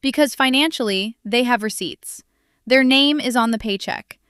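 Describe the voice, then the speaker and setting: A woman's voice: on the high side, normal speed, fairly bright tone, no echo. Sassy woman in a dry, close recording. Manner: emphatic, sassy, fires off rhetorical questions. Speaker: commentary host. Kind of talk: direct-to-camera social commentary.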